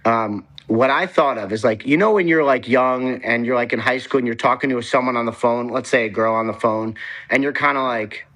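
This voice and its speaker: A man's voice: mid-range voice, brisk, a bit bright, low-quality recording. A man speaking as a football insider in a casual interview, very colloquial and acting out imagined exchanges. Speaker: NFL insider, show guest. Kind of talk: casual sports talk interview.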